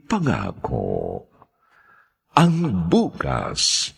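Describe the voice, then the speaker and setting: A man's voice: extremely low pitch, huge pitch swings, extremely slow, creaky, breathy edge, dry recording. A man with a Filipino accent announcing a radio drama from a script in a formal, theatrical manner.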